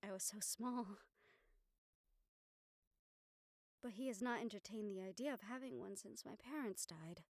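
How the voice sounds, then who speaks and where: A woman playing an affectionate, scheming young noblewoman in an intimate audio roleplay, speaking formally and measuredly with thoughtful pauses. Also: medium pitch, darkish tone, dry recording, studio quality, natural voice. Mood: wistful, pensive. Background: background music.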